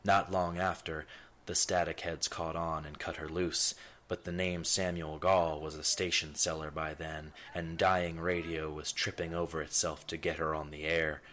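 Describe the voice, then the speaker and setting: A man playing a self-important, brooding ponderer, narrating his thoughts in wordy, deadpan scripted first person. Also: extremely low pitch, moderate pace, a little hiss or hum. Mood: brooding, musing.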